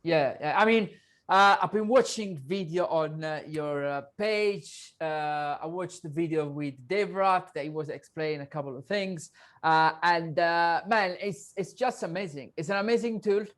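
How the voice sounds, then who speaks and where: Man, Italian accent, high-pitched, animated, casual host. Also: moderate pace. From Italy. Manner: animated, loose grammar. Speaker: podcast host. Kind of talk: casual interview chat.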